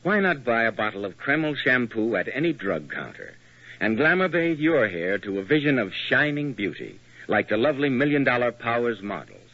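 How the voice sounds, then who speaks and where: Husky-voiced 1940s radio announcer man. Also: sing-song, normal speed, bright, forceful tone, no echo. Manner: smooth, persuasive pitchman. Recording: dull lo-fi 1940s radio broadcast.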